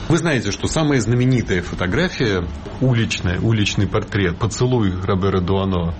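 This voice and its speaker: A man's voice: lowish voice, normal speed, background noise. A male radio interviewer asking pointed questions.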